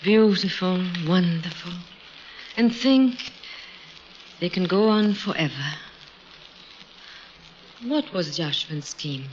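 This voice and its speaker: A woman's voice: on the low side, takes it slowly, pauses often, a little hiss or hum, low-quality recording. An actress playing a romantic, anxious aristocratic woman and devoted mother in an old-time radio drama, tender and intense. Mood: tender, wistful. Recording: old radio broadcast, music behind.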